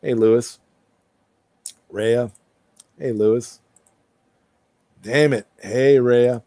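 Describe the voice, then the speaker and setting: A man's voice: mid-range voice, steady tone, extremely slow, dark tone, no echo. A man hosting a livestream, chatting casually and answering viewer comments, often repeating and rephrasing himself as he thinks aloud. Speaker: livestream host.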